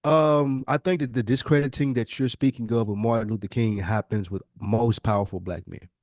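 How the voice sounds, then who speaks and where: A man's voice: medium pitch, steady tone, a little fast, darkish tone, dry recording, studio quality. A man giving his opinions in an emphatic, slangy and profane casual conversation, often repeating phrases to drive a point home. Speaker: podcast speaker.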